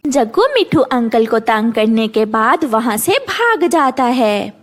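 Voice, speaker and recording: A woman's voice: a little high, sing-song, slow. A woman narrating a scripted children's story.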